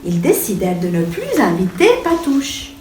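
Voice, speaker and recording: A woman reading a children's bedtime story aloud in an expressive, theatrical storytelling manner. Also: lowish voice, moderate pace. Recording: echoey room, distant mic, music behind.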